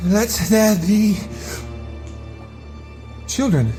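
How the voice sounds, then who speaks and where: A guilt-ridden scientist, anguished and dramatic, in a gothic audio drama. His voice is fairly high for a man, with formal diction and music clearly underneath. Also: expressive, drawn-out words, warm tone, heavily acted. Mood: horrified, anguished.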